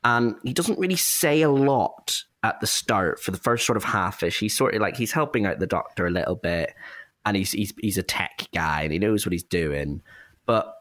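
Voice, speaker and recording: A man with a low, lively, swooping voice, recorded close and dry. He talks reflectively in a casual, chatty way, as someone discussing a creative project behind the scenes. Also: normal speed, no room sound. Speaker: director and actor in an amateur audio drama.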